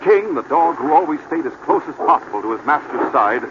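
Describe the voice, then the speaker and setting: A man's voice: a little high, sing-song, brisk. A man narrating a story in the dramatic scripted style of old-time radio. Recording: noisy, muffled vintage radio recording.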